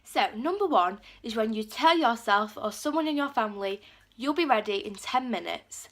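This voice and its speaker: A teenage girl chatters to her viewers, her voice bright and a bit high. She has a cold, and her talk is loose and full of "like" as she acts out little quotes. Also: lively intonation, moderate pace. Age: teens. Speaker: vlogger. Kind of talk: casual talk to camera.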